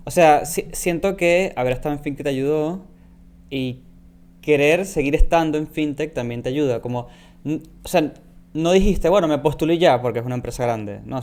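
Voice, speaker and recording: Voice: mid-range voice, darkish tone. A podcast co-host chatting casually with a guest, often chiming in with short affirmations. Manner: frequent short affirmations, friendly back-channeling. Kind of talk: casual conversational interview.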